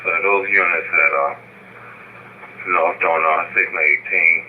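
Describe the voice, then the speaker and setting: A man's voice: deep voice, fairly even intonation, takes it slowly. A man speaking clipped, procedural police traffic over a two-way radio, relayed through a scanner. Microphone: police radio heard through scanner. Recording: tinny, noisy police scanner feed.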